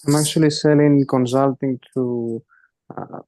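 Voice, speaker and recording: A man with a Greek accent asking a question on a group support call, hesitant and halting with many restarts. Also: mid-range voice, fairly even intonation, mellow, no echo, rough recording. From Greece.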